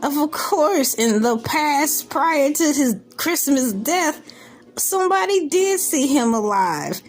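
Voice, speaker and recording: Female commentator, higher-pitched and slow, joking and incredulous in casual asides, faint music underneath. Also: expressive. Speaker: video host. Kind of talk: casual comedic commentary on a news article. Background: background music.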